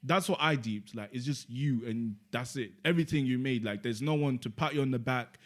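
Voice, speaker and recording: A man's voice: medium pitch, brisk, a bit bright. A young man, a podcast co-host talking in casual chat, reflective and rambling, with lots of "like" and "you get me". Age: young adult.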